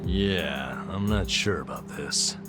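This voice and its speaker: An actor playing a wary, gruffly sensible gangster sidekick in an English video game dub. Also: male voice, sits low, takes it slowly, loud music behind the voice. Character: wary, grumbling male yakuza companion, gruffly sensible.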